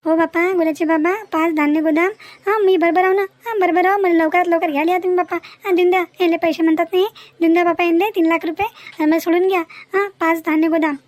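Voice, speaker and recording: A woman's voice: extremely high pitch, fairly even intonation, quick pace. A woman voicing a kidnapped village wife in a cartoon, pleading and repeating herself. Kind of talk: Marathi cartoon comedy dialogue.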